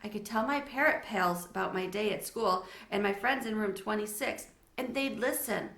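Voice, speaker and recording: A talkative, imaginative little girl from a storybook as a woman reader plays her, lonely and earnest. The voice is bright and gushing, and it rings in an echoey room. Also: medium pitch, moderate pace, lightly acted. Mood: dreamy, hopeful.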